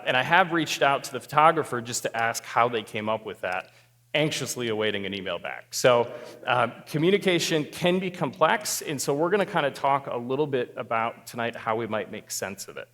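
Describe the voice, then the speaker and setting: A marriage therapist speaks to a live crowd in an easygoing way, poking fun at himself along the way. His middle-pitched voice carries a long reverb tail from a big hall. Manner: relaxed, self-deprecating humor. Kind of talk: talk to a live audience.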